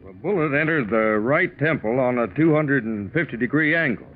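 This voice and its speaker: Actor as precise police captain, muffled old radio. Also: male voice, mid-range voice, expressive, normal speed, close to an everyday voice. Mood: matter-of-fact. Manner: official, precise. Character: matter-of-fact male police captain testifying. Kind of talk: 1940s radio acting. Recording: muffled, noisy 1940s radio recording.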